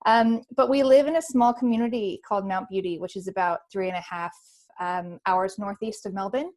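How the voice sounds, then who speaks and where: A friendly woman in her thirties with a Californian American accent speaks over a video call, at an ordinary pitch. She introduces herself to a webinar panel with plenty of "um" fillers and an easy, open manner. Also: fairly quick, darkish tone.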